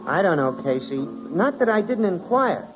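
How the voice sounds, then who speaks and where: Friendly slangy bartender, high-pitched, earnest and folksy, 1940s radio with music. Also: male voice, very animated, normal speed, low-quality recording. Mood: defensive, gabby. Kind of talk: 1940s radio drama acting. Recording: old radio broadcast recording.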